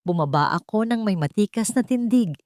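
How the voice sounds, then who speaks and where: A woman's voice: mid-range voice, animated, normal speed, darkish tone, no room sound. A woman with a Filipino accent reading a dramatic first-person story as an audiobook narrator.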